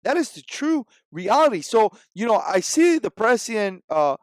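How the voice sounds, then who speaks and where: A man in his forties speaks English with a Cuban Spanish accent, his first language being Spanish. His voice sits high for a man, and he hammers his points home with emphatic repetition. The recording is close and dry, with no room sound. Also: big pitch swings, normal speed, clear, resonant tone, no echo. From Cuba. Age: 40s. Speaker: vlogger. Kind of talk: opinion commentary vlog.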